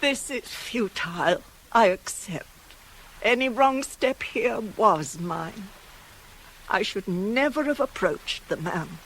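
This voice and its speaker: A woman's voice: mid-range voice, animated, slow, fairly bright tone, rough recording. A woman playing a devoted older nurse in a radio tragedy, pleading and justifying herself to her queen in heightened speech. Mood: resigned, urging. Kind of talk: 1980s radio drama, Greek tragedy. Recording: faintly hissy radio play cassette.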